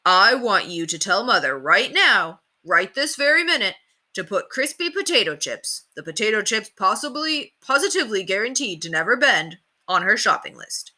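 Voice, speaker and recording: A woman voicing a folksy old male TV sheriff who hosts for children in a storybook, with a drawn-out showman's delivery. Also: medium pitch, very animated, pushed and bright, pitched above the performer's own voice, heavily acted. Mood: hammy, selling. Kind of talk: children's storybook read-aloud.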